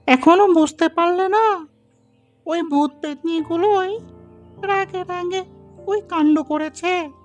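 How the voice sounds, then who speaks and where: Fretful village housewife, extremely high voice, drawn-out delivery, for a ghost story cartoon. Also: male voice, soft background music. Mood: alarmed, scolding. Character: worried village wife, shrill and fretful.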